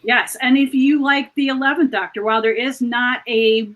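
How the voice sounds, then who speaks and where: A woman with a somewhat high-pitched voice speaks as a fan panelist. She explains things informally and step by step, as recommendations to listeners. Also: fairly even intonation, moderate pace, a bit bright.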